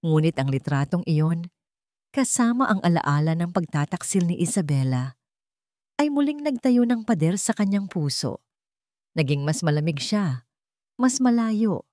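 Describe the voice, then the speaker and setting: A Filipino woman narrates a scripted romantic drama. Her voice sits a little low for a woman. The recording is dry, with very little room sound. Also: sing-song, a little slow, pauses often, darkish tone, no echo. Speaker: story narrator.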